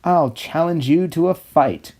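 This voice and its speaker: A man's voice: a little high, measured pace, a little mellow. Guy doing a bold earnest young superhero from a toy movie script. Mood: heroic.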